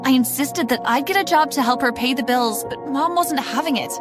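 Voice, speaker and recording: A young woman narrates a story in the first person with dramatic, scripted delivery. Her voice is high, and music plays prominently behind it. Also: fairly quick.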